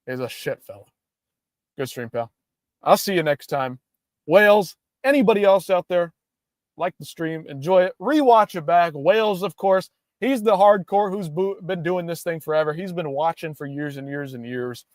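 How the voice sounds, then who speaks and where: A man's voice: on the high side, normal speed, dry recording, studio quality. A young man hosting a casual livestream, ranting in a hyped-up, profane way. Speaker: livestream host.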